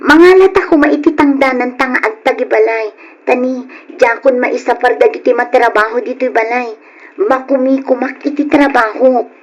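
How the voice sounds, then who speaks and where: A woman's voice: high voice, normal speed. A woman playing a sincere, practical young wife in an old-style radio drama. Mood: concerned, practical. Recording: dull, lo-fi old radio recording.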